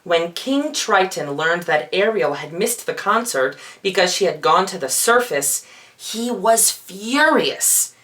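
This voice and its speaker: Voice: medium pitch, expressive, slow pace, bright, forceful tone. A feminine-sounding storyteller reads a children's storybook theatrically, with playful asides. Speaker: storyteller, drag performer. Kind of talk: dramatic children's storybook reading.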